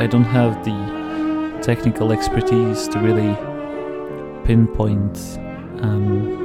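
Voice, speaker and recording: A man whose first language is Portuguese, hosting a casual radio show and rambling hesitantly, often repeating words. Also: fairly low pitch. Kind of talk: casual commentary over music. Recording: loud music playing under voice. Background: classical viola and piano music.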